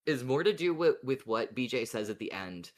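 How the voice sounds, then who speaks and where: Mid-pitched podcast co-host, musing casually. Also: animated, moderate pace. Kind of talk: casual speculative fan chat.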